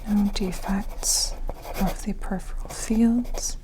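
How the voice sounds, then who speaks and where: A woman whispering softly and gently in an ASMR medical roleplay, reassuring as she explains each step. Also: medium pitch, steady tone, takes it slowly. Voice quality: soft, breathy whisper. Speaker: ASMR creator playing a medical examiner.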